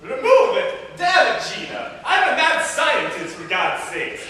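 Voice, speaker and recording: Shrill, theatrical evil mad scientist. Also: male voice, huge pitch swings, measured pace, pushed and bright, full character voice. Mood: indignant. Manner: melodramatic, cackling villain delivery. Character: gleeful evil mad scientist boyfriend, young man. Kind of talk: amateur comedic stage play. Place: indoor performance room, mic far off. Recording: echoey hall, distant mic, faint noise.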